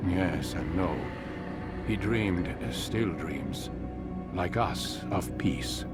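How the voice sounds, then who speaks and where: A solemn older mentor of assassins, speaks in a low, measured voice. He sounds grandiose and oratorical, like a game cutscene sage, with prominent music underneath. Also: lively intonation, full character voice. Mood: earnest. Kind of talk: dramatic video game dialogue.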